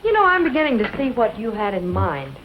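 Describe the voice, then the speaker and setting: A woman's voice: fairly high pitch, huge pitch swings, brisk. A woman playing a polite, earnest newcomer lady in 1940s western film style. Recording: dull old film soundtrack, faint noise.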